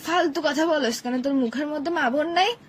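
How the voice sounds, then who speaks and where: A woman's voice: high-pitched, brisk. A young woman, playing a girlfriend on a comic phone call who scolds and hurls insults at her boyfriend.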